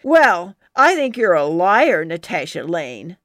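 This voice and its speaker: A woman voicing a bold, defiant young girl telling wild stories, excited and emphatic. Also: on the low side, very slow, dry recording, clean studio sound, heavily acted. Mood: spirited.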